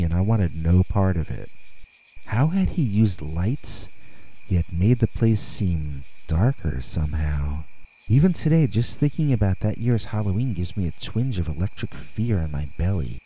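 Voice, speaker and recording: A man with a low, husky voice narrates a scary first-person tale. His tone is very dark and soft-edged. He reads in a measured way, leaving dramatic pauses between phrases. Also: poor audio. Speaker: narrator and reader of scary stories. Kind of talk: scripted first-person horror storytelling.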